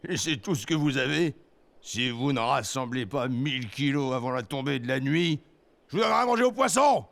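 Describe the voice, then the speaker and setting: An actor voicing a bullying wolf monster foreman in an animated film, gravelly and quick to cringe before his superiors. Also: mid-range voice. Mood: furious, threatening. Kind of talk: animated film dub acting.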